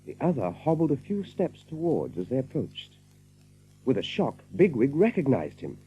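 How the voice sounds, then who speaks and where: A man's voice: medium pitch, huge pitch swings, normal speed, dark tone, dull audio, a little hiss or hum. A man narrating a story as scripted, dramatic storytelling for an audio drama.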